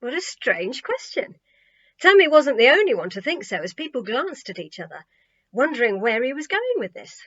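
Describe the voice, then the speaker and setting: A woman reading a children's story as an expressive audiobook narrator. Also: sits high, moderate pace, highs cut off.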